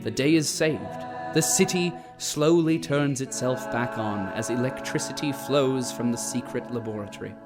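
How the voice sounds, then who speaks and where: Solemn propagandist chronicler, performed by a man, mid-pitched, measured and grandiose dramatic narration with music underneath. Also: close to an everyday voice. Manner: solemn, portentous, emphatic.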